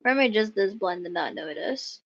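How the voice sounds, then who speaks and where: A woman's voice: mid-range voice, expressive, a little fast, fairly bright tone, dry recording. A woman chatting casually as she streams a video game. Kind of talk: casual livestream chat. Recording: muffled stream microphone.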